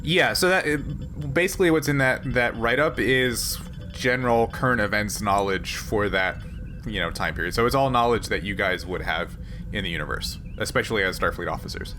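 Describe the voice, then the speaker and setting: A man acting as game master, explaining the setting to players in a halting, conversational way. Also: on the low side, sharp tone, faint music behind. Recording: clear background noise.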